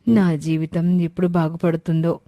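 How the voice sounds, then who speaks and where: A woman's voice: lowish voice, flat delivery, normal speed, warm tone, no room sound, natural voice. A woman voicing a discouraged, anxious young orphan in a moral story. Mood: downcast. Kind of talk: Telugu moral story character acting.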